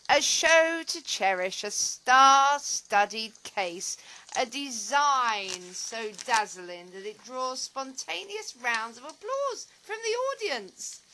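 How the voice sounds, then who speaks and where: Theatrical, lonely middle-aged actress, played by a woman, audio drama. Bright, unhurried, dramatic rambling; faint noise behind. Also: a little high, full character voice, low-quality recording. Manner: dramatic, rambling, self-amused monologue. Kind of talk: audio play acting.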